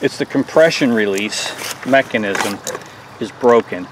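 A man's voice: mid-range voice, measured pace, noisy background. Folksy man explaining an engine repair outdoors. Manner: plain, folksy, step-by-step explaining. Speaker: small engine repair host. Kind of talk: hands-on repair tutorial. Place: outdoors on a lawn.

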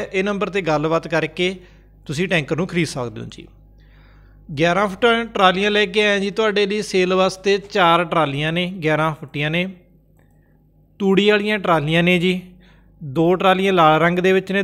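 A man with a Punjabi accent, host of a farm machinery sales channel, reads sale listings in a voice-over with brisk salesman patter and repeats prices and phone numbers. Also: high voice, fairly bright tone.

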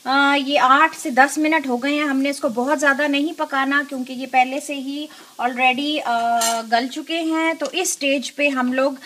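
A woman hosting a cooking show, giving unhurried step-by-step instructions in a home kitchen with frequent filler pauses. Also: high voice, steady tone, fairly bright tone, slight background noise.

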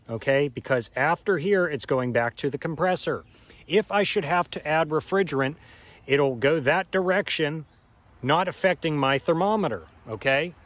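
A man's voice: a little high, a bit bright. A man in his thirties working as an HVAC technician, explaining a repair step by step outdoors and often checking in with "okay?". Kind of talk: hands-on how-to explanation. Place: outdoors by an air conditioning unit in a yard. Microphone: camera mic, handheld or on a tripod.

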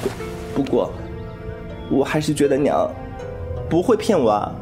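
A man's voice: medium pitch, very animated, measured pace, over music. A man playing a cheeky, boastful young rogue healer in a Chinese wuxia audio drama, playful and theatrical. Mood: wry, wistful.

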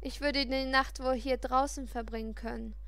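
A woman reading a first-person story aloud in an expressive, dramatic way. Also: high-pitched, normal speed, dry recording. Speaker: audiobook reader.

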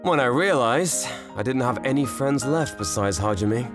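Casting: male actor as boyish, cocky but friendly anime high schooler, animated delivery, music bed. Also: normal speed, natural voice. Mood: reflective. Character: cocky, good-natured teenage boy, former ace baseball pitcher. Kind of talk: manga dub narration and dialogue.

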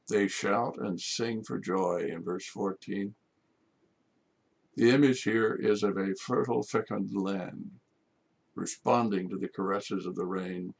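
An older man, a priest, delivering a measured homily from his study, with rhetorical questions. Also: lowish voice, gravelly. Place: home study with bookshelves.

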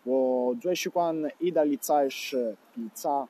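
A man's voice: medium pitch, measured pace, mellow, a little hiss or hum. A young man from Italy introducing himself in simple learner phrases, filmed on a phone held at arm's length. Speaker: language student. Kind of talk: casual self-introduction in learner Chinese.

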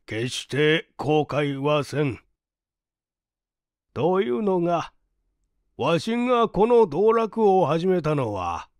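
Guy doing a stubborn old company boss from a storybook, really slow, formal old-fashioned talk, close dry sound. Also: a little high, no echo, voice pushed up. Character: stubborn, childless older businessman, earnest and dignified. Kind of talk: dramatized audiobook reading.

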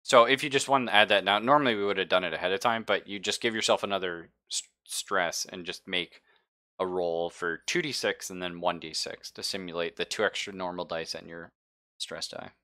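A man with a fairly low, forceful, bright voice runs a tabletop roleplaying game. He talks casually and jokily, walking players through options and outcomes in informal language. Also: brisk. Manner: informal, jokey, swears. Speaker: game master. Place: online game session over voice chat.

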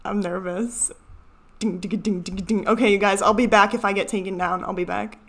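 A woman's voice: mid-range voice, steady tone, moderate pace. A young woman with a Chicago American accent, giving casual reaction commentary in a rambling, self-interrupting way. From Chicago, United States. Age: young adult. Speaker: video host. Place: small furnished room at home. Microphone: desk microphone, seen at frame edge.

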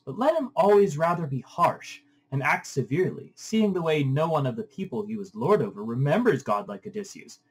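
A man's voice: mid-range voice, breathy edge. A man voicing an indignant goddess pleading with her father in a declamatory, impassioned read-aloud of an epic.

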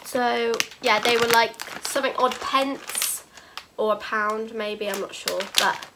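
A woman's voice: a little high, fairly even intonation, a little slow, noisy background. A British woman in her twenties, a vlogger chatting to camera in her bedroom, gushing and repeating herself, a bit bunged up with a cold. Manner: gushing, repeats "really, really cute". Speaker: haul vlogger. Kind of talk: casual chat to camera. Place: bedroom, indoors.

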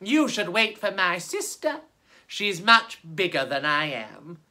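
Storyteller doing a sly girl goat, high and fluttery, begging the troll, big swoopy pitch. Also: big pitch swings, takes it slowly, bright, forceful tone, full character voice. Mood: pleading, sly.

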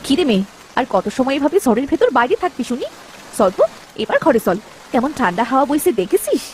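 A woman playing a nagging, sharp-tongued poor village wife and mother in a cartoon story, scolding and sarcastic. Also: sits high, sing-song, brisk, slight background noise. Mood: bossy, impatient. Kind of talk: dramatic cartoon story dub.

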